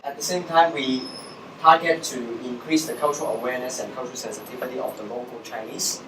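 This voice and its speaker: A young man from Hong Kong, speaking with a Hong Kong accent, gives a talk in an explanatory way. His voice sits at a middling pitch. It comes from some distance in an echoey room, with faint noise behind it. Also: steady tone, fast talker. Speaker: social entrepreneur giving a guest talk. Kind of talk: public presentation to students.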